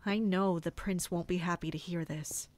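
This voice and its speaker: A woman's voice: fairly low pitch, fairly even intonation, moderate pace, close to an everyday voice. A woman playing a principled royal guard captain in a fantasy audio drama, formal and dutiful. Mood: resolute, uneasy.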